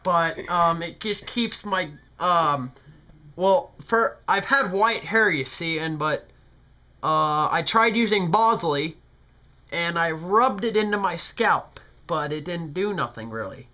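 A man's voice: on the high side, animated, slow, pushed and bright. A young American man making a prank phone call as a polite, hesitant, folksy older customer. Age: young adult. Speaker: prank caller. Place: small bedroom, indoors. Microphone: camera at close range, talking into a speakerphone held in hand.

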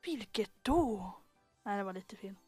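A woman's voice: medium pitch, lively intonation, dark tone, husky, no echo, soft background music. A woman chatting casually with colleagues between recording takes. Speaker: voice session colleague.

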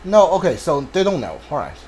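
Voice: medium pitch, wildly swooping pitch, a little fast, dark tone, slight background noise. A video game streamer giving casual commentary on a blind playthrough. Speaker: gameplay streamer. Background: game music behind the voice.

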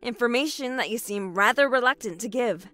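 An actress playing a bitter, defiant survivor of a killer in an audio horror drama, curt, guarded and sarcastic. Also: female voice, a little high, a little fast, bright, forceful tone.

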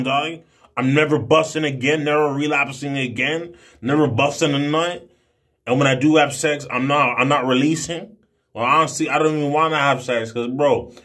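A man's voice: a little high, fairly bright tone. A man in his twenties talking casually to camera in a brash, emphatic, slangy way with heavy swearing. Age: 20s. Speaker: video blogger. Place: small room indoors. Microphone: phone at arm's length.

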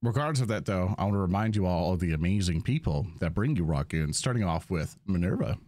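A man's voice: sits low, expressive, fast talker, a little mellow, no echo, clean studio sound. A man hosting as game master, narrating a live-play tabletop game and talking casually to viewers.

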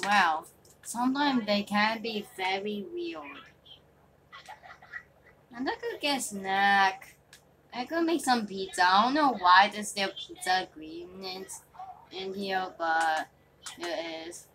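A woman's voice: mid-range voice, expressive, takes it slowly, gravelly, pauses often. A woman chatting casually as she improvises a roleplay in a video game, recorded in a noisy room. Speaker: gamer hosting a roleplay video. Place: small bedroom, indoors. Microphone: phone filming the TV screen.

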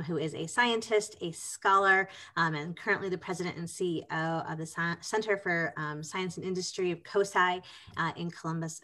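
Woman, webinar host intro, low voice, halting with fillers, video call. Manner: warm, filler-heavy introduction. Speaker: partnerships director introducing a guest. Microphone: webcam on video call.

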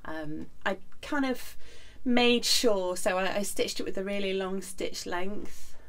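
A woman in her forties, hoarse from the flu, chatting casually to camera in her home sewing room. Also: medium pitch, takes it slowly, fairly bright tone. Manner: chatty, friendly, many ums and asides. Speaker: sewing vlogger. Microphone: camera in front at desk.

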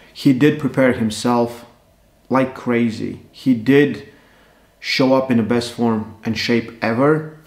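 A man, giving casual, animated analysis as an arm wrestling coach from his sofa. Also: medium pitch. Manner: animated, gesturing, conversational with fillers. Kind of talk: casual post-match analysis. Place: living room, seated on a sofa. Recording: echoey room.

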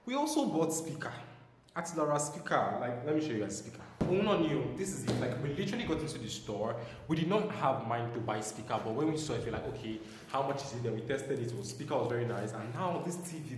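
A young man with a Nigerian accent chatting loosely in a casual home vlog, excitable and trailing off with ums. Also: medium pitch, a little fast, background noise. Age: young adult. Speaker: vlogger. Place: small bare room at home, indoors. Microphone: lapel mic. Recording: echoey room with music underneath.

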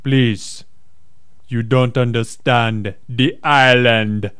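A man playing a pleading, earnest mysterious stranger in a comic radio play, with a drawn-out, ominous delivery. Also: medium pitch, fairly even intonation, fairly bright tone, clean tone, no room sound, clean studio sound, pitched below the performer's own voice.

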